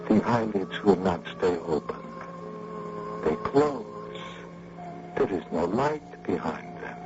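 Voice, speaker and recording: An actor playing a solemn foreign mystic doctor in an old radio drama, speaking slowly in grandiose, gravelly pronouncements. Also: male voice, medium pitch, dark tone, exaggerated voice. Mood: hypnotic, soothing. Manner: grandiose, slow, sermonizing pronouncements. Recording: muffled, noisy radio drama recording.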